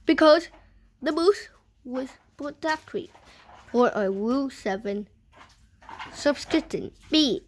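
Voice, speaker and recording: A woman reading a children's picture book aloud in a deliberate way, pausing between short phrases. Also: high-pitched, animated. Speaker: storybook reader.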